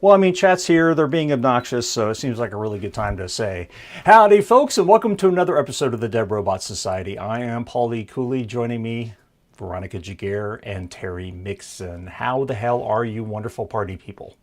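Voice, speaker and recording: A man's voice: mid-range voice, animated, a little fast. A man hosting a podcast, joking and laughing in casual chat. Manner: jokey, laughs often. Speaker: podcast host.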